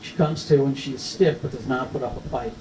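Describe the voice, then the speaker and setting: A man's voice: mid-range voice, steady tone, brisk, a little mellow. A man reading stage directions as scripted narration at a screenplay table read. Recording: roomy, distant mic, faint noise.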